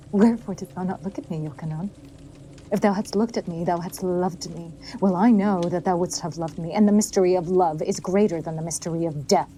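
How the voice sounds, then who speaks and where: A woman's voice: on the low side, brisk, dark tone, slight background noise, close to an everyday voice, rough recording. A woman playing an obsessive, lovestruck young princess, cruel and bereft, in a theatrical classical audio drama. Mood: wounded, passionate.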